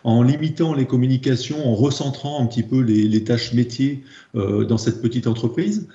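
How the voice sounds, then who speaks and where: A man's voice: mid-range voice, normal speed, mellow. A man with a French accent explaining slides as a trainer, hesitant and often repeating words as he goes. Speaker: presenter, trainer in process improvement. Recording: dull, echoey room recording.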